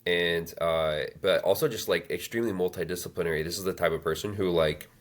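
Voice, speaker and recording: A man in his thirties telling his life story as an interview guest, halting with many fillers and restarts. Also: deep voice. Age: 30s. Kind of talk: reflective personal storytelling.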